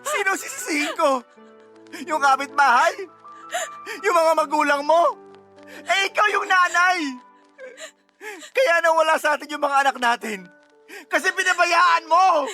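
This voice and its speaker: Anguished husband, high strained voice. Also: male voice, lively intonation, unhurried, long pauses, bright, forceful tone, soft background music, heavily acted. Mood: enraged, sobbing. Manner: tearful, accusatory, pleading. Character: anguished, blaming husband grieving his lost children. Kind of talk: emotional radio drama acting.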